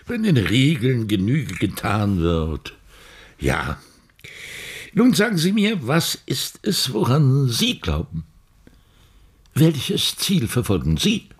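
An actor playing an elderly, philosophical and evasive science professor in a radio play, husky and deliberate in delivery. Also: male voice, mid-range voice, huge pitch swings, full character voice. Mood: philosophical, pontificating. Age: older.

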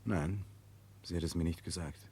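Very deep, earnest Russian prince. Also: male voice, moderate pace, warm tone, breathy edge. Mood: guarded. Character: Russian prince, earnest, dutiful, burdened by conscience. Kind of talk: 1960s German radio drama acting.

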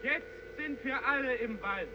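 A man's voice: extremely high pitch, measured pace. An actor playing a young fisherman in a 1950s German radio drama, solemnly announcing a march in stark short reports. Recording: muffled, noisy vintage radio recording.

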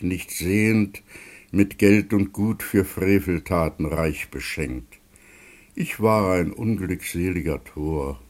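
An actor playing a solemn, courteous god of wealth in a German radio play, with stately declamation. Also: male voice, deep voice, darkish tone. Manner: stately, formal.

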